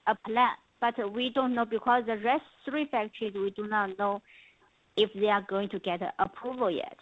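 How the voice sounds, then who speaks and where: A woman's voice: medium pitch, fairly even intonation, normal speed, no echo. A woman with a Chinese accent, a native Mandarin speaker, answering questions and interpreting as a company representative on a conference call. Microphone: telephone. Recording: dull lo-fi conference phone call.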